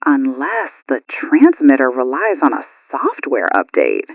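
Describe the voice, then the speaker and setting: A woman's voice: a bass voice, huge pitch swings, unhurried, no room sound, muffled recording, full character voice. A woman playing an alert, concerned morning radio news host in an audio drama. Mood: urgent, worried. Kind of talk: acted radio broadcast drama.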